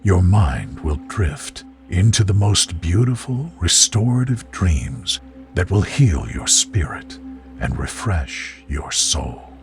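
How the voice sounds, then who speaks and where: Deep-voiced man, slow soothing sleep narration. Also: big pitch swings, creaky. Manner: soothing, gentle, measured delivery. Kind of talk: guided sleep visualization, scripted narration. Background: music behind the voice.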